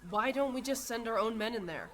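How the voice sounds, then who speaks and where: A tough, skeptical lady cop in a radio drama, acted by a woman. Her voice is on the high side, and she talks in a challenging, dismissive way. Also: expressive, a little fast, natural voice. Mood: skeptical, scornful. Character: hardened, skeptical female police lieutenant.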